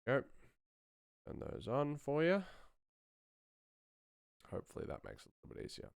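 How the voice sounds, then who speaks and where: A man's voice: medium pitch, wildly swooping pitch, moderate pace, vocal fry, clear, resonant tone, dry recording, studio quality. A man hosting a casual live stream as a sound designer, rambling and thinking aloud as he works.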